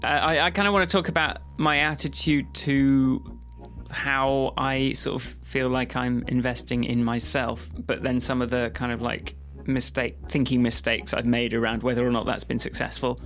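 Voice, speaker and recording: A man hosting a podcast in a loose, casual chat. He rambles, jokes and laughs often, in a voice of ordinary middle pitch. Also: little pitch movement, normal speed, a bit bright. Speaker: podcast host.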